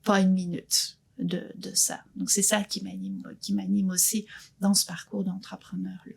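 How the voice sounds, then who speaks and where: Interview guest: woman, 50s, husky and a bit gravelly, earnest and reflective. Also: medium pitch, flat delivery, normal speed. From Belgium. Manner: earnest, thoughtful, frequent restarts. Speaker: guest entrepreneur.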